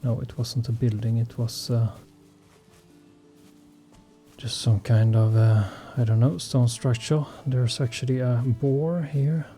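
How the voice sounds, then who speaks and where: A Swedish-born man talks through his survival gameplay at an unhurried pace, pausing often on ums and uhs. His voice is mellow and mid-pitched. Faint game music plays underneath. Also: fairly even intonation. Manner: slow, hesitant, many fillers. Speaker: gaming channel host. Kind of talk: relaxed gameplay commentary.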